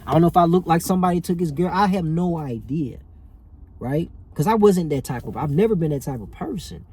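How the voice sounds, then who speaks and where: A man's voice: fairly high pitch, animated, fairly quick, a little mellow, husky. A man, telling a personal story casually and animatedly, as if to viewers. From rural Alabama, US. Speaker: vlogger telling personal stories.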